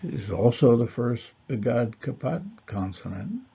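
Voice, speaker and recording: A man giving a slow, measured Torah lesson, pausing often with fillers. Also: mid-range voice, sing-song, vocal fry, dark tone, husky, muffled recording. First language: not Hebrew. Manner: measured, deliberate, with fillers and pauses. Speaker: Torah teacher. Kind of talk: slow lecture.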